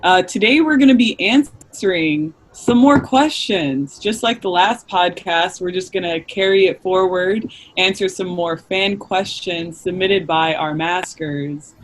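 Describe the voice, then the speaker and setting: A man's voice: high-pitched, unhurried, hardly any pauses, rough recording. A young man with a Midwestern American accent hosting a podcast in a casual, joking manner. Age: young adult. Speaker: podcast host.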